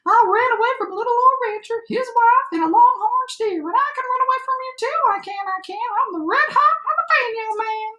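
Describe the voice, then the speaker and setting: Woman putting on a high-pitched cocky little gingerbread cowboy. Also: fairly quick, few pauses, echoey room, highs cut off. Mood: boastful. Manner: shouted, taunting sing-song boasts. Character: cocky gingerbread cowboy, small, excitable runaway boasting jalapeno man.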